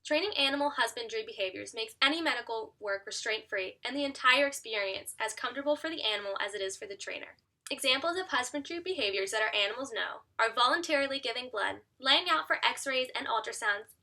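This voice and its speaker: A woman's voice: fairly high pitch, animated, quick pace, pushed and bright. A young adult woman giving a scripted educational show presentation, speaking as an upbeat presenter to an audience. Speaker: student giving an audition presentation.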